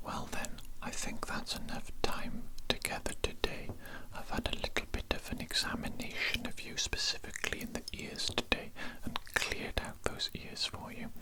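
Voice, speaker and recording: A man playing an evil, smug, controlling doctor in a breathy whispered ASMR roleplay, slowly and deliberately repeating his phrases with condescension. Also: close to an everyday voice, poor audio. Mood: smug, gloating. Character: evil male mad doctor, smug, controlling, softly menacing.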